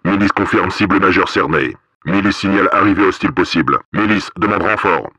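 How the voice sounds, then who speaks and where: An actor playing a stern, cold paramilitary police officer in a video game, giving terse commands through a radio filter. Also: male voice, sits low, a little fast, pushed and bright, breathy edge, dry recording, full character voice. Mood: clipped reporting. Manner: terse, commanding, coded military phrases.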